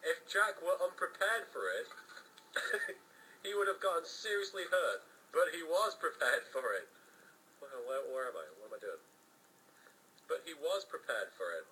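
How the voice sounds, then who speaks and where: A man's voice: a little high, very animated, normal speed, long pauses, pushed and bright, recorded from a distance, slight background noise, low-quality recording. A man giving a comedic dramatic reading of fan fiction, theatrical in delivery, with wry joking asides.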